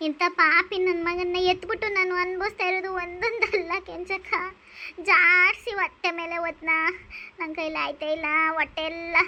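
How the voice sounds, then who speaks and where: A woman's voice: sits high, fairly even intonation, fairly quick, sharp tone, highs cut off. A woman playing a suffering village mother in a rural melodrama, wailing and bitterly cursing her cruel son. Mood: anguished. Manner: wailing, lamenting.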